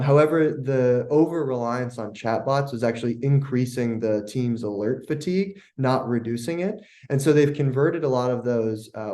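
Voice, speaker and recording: A man's voice: medium pitch, mellow. A man giving a product case-study presentation in an explanatory, conversational way.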